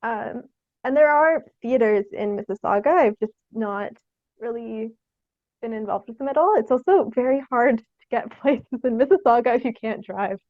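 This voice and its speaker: A young woman from Canada answering interview questions casually, in a tentative, halting, giggly way. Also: fairly high pitch, lively intonation, no room sound. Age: young adult. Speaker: guest, theatre artist. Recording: dry, dull lo-fi sound.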